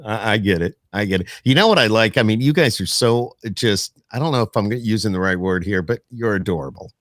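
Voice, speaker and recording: A man's voice: on the low side, expressive, fairly quick, fairly bright tone, dry recording, clean studio sound. A middle-aged man chatting casually as a podcast host, rambling and teasing as he interviews his guests.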